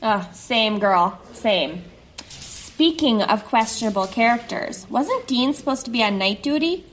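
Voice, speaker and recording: A woman's voice: fairly high pitch, expressive, a little slow, poor audio. A woman playing a sceptical, gossipy police officer chatting in a folksy, droll way in an audio drama. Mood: sceptical, amused. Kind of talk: conversational audio drama acting. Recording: clear background ambience noise.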